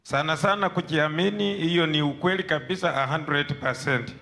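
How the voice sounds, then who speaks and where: Kenyan guy giving earnest church testimony, highish sharp voice. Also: normal speed, vocal fry. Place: large church hall. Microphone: handheld microphone held for him.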